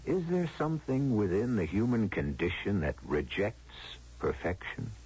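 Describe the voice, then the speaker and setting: Deep, portentous male radio host. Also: big pitch swings, darkish tone, slight background noise. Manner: portentous, rhetorical, deliberate. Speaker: host and narrator. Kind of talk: dramatic scripted radio narration. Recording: muffled old radio broadcast recording. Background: music.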